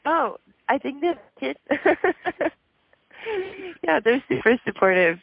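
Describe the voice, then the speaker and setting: Hoarse, gravelly woman, shy and halting. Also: high-pitched, big pitch swings, no room sound, dull audio. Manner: shy, halting, giggly, many fillers. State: hoarse from a throat issue. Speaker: musician guest. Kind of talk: casual interview answers.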